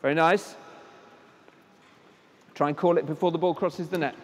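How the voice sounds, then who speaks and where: A man coaching children at tennis drills, giving brisk encouraging instructions and projecting his voice loudly. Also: a little high, steady tone, slightly rough. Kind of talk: on-court coaching demonstration. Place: indoor tennis hall at a conference. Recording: echoey hall, voice from a distance.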